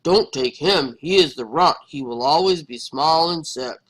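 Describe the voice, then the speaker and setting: A blunt neighbor man from a picture book, put on by a woman reader, pitched down low. The delivery is firm and discouraging, with a husky edge and strong emphasis. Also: measured pace, rough recording. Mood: stern warning.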